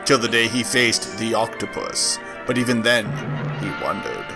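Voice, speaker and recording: A man's voice: mid-range voice, fairly even intonation, moderate pace, over music. A man co-hosting a podcast, switching playfully between joking banter and mock-dramatic narration.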